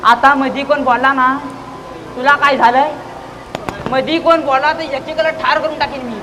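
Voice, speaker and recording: Young performer as high-voiced, irritable village blusterer. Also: steady tone, moderate pace, lightly acted. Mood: angry. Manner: scolding, combative, exclaiming. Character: irritable, blustering village man, quick to threaten. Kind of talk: rustic school stage comedy acting. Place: live stage at a school event. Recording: noisy live stage recording.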